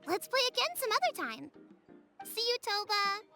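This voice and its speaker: A woman's voice: very high voice, big pitch swings, normal speed, creaky, pushed and bright, exaggerated voice. Earnest young boy. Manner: defensive, childlike, earnest. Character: earnest young village boy, sulky then sincere. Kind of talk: video game dub. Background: game music.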